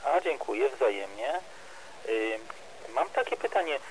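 A man's voice: medium pitch, sing-song, a little slow, low-quality recording. A man operating amateur radio, making a casual call, heard through a radio transmission. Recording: amateur radio with faint noise.

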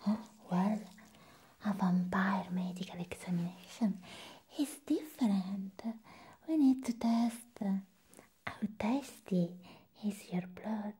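A young woman doing an ASMR roleplay as an eccentric vampire nurse, whispering breathily in slow, drawn-out phrases with soft hums, close to the microphone. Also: mid-range voice, slightly rough. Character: eccentric, playful female vampire nurse, hungry for blood. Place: small indoor room set up as a clinic.

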